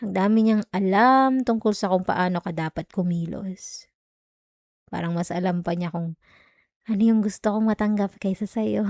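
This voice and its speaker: A woman's voice: mid-range voice, moderate pace, darkish tone, no room sound, clean studio sound, close to an everyday voice. A woman with a Filipino accent playing a jealous, hurt young girlfriend in an emotional, sarcastic audio roleplay. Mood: passive-aggressive hurt. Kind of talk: Tagalog ASMR girlfriend roleplay, acted monologue.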